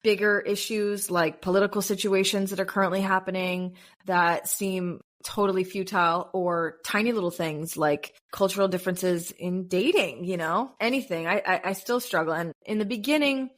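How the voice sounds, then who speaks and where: A woman in her thirties from the United States, a podcast guest chatting casually and telling lively stories. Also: normal speed. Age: 30s. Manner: animated storyteller, bursts into high-pitched acted quotes and laughs. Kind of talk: casual interview chat.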